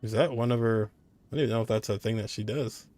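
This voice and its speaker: A man's voice: on the low side, fairly even intonation, quick pace, darkish tone. A man streaming a video game, giving casual, laid-back live commentary in short muttered reactions.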